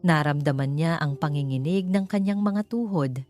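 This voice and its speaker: A woman with a Filipino accent narrates a scripted romance story in a dramatic, measured way. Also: low voice, darkish tone. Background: background music.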